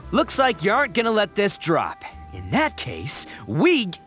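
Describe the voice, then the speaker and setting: Brash, wildly animated oni gang boss. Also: male voice, high-pitched, unhurried, soft background music, exaggerated voice. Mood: menacing. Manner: blustering, stammering, theatrical. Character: brash big-hearted young male oni gang boss, blustering. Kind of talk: video game voice acting.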